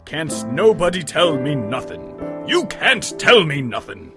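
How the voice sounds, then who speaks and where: A man acts out an over-the-top dramatic reciter of silly lyrics. The voice is pushed high and loud, swooping theatrically and emphasizing each line. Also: very animated, unhurried, music in the background. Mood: mock dramatic.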